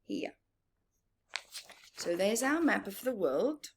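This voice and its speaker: Slow-paced woman presenting children's storytime. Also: medium pitch, very animated, a little hiss or hum. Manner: singsong, repeats words for young children; asks listeners questions and answers them. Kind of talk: children's read-aloud and teaching, addressed to young viewers.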